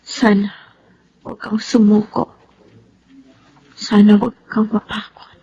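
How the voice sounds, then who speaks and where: A Filipino woman playing a troubled, loving young wife and mother in a radio drama, with a breathy, emotional, melodramatic delivery. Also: mid-range voice, little pitch movement, slow, mellow, lo-fi sound, a little hiss or hum. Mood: wistful, tender.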